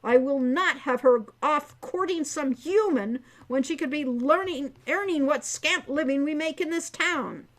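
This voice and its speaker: A woman's voice: high voice, a little slow, few pauses, pitched above the performer's own voice. Woman voicing a stern, furious centaur father. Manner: formal, indignant, scolding speech. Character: stern, angry centaur father, farmer and archer.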